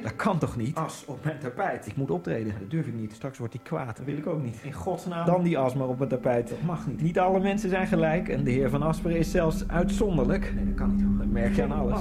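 A man's voice: a little high, a little mellow. An actor playing a nervous, fawning immigrant man who rambles in a radio play. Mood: anxious, fawning. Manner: rambling, obsequious, slides into bitter ranting. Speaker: radio drama lead. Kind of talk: radio play acting with inner monologue.

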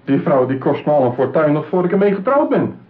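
An actor playing an impulsive, insecure yet self-satisfied man in a radio play, insistent and excitable in manner. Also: fairly high pitch, quick pace. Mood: indignant, wavering. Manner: insistent, excitable, swinging from boast to doubt. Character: impulsive, insecure, self-satisfied male customer seeking a bride. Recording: muffled, narrow-band radio play recording.

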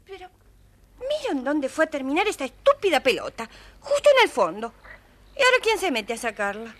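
An actress playing a spoiled, bored, haughty little princess in an audio fairy tale, with a petulant, theatrical manner. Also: female voice, sits high, very animated, brisk, fairly bright tone.

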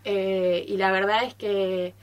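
A woman's voice: medium pitch, flat delivery, takes it slowly, clear, resonant tone. A young woman speaking casually and unscripted as a studio guest, with an informal, giggly manner. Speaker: guest visiting a radio studio. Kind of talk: casual, unscripted thanks on air.

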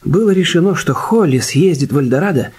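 An actor playing a composed, observant detective with a husky voice in a radio play, also narrating. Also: male voice, a little high, lively intonation, brisk, darkish tone. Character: composed, observant male detective, sparing with words.

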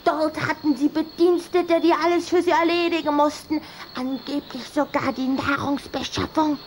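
A woman's voice: high voice, fairly even intonation, normal speed, a little hiss or hum, lightly acted. A woman playing a sulky, lovelorn little vampire girl in a children's radio play, with a girlish, emotional, chatty delivery. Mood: catty, indignant.

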